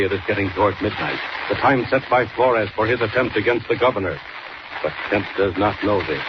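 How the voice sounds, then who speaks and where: Dramatic male radio announcer, muffled vintage sound. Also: on the low side. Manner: declamatory, suspenseful. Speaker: announcer and narrator. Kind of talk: dramatic scripted 1940s radio narration. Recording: muffled, noisy 1940s radio recording.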